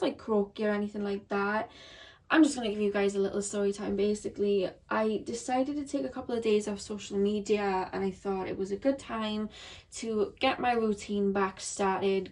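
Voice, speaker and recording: A woman with a croaky, slightly shaky voice giving a chatty, rambling tarot reading to her viewers. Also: mid-range voice, a little mellow, recorded from a distance. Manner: chatty, rambling with many ums and likes. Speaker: tarot reader. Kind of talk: pick-a-card tarot reading. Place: seated behind a camera at home.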